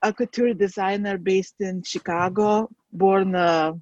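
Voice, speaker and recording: A woman with a Lithuanian accent, a guest designer on a podcast, speaking hesitantly with many pauses and fillers. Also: medium pitch, steady tone, darkish tone, no echo, dull audio. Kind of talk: podcast interview.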